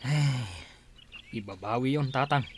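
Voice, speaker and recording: An actor with an Ilocano Filipino accent playing a plain-spoken young adult son in a village radio drama. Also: male voice, mid-range voice, a little slow, husky. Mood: explaining. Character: plain-spoken grown son helping his village father.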